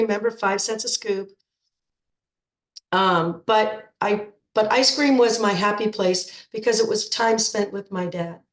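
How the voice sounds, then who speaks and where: A woman's voice: medium pitch, echoey room. Stuffy-voiced middle-aged woman coach. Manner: chatty, repeats words when restarting. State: stuffed up with a cold. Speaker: nutrition coach. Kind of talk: informal coaching talk to program members.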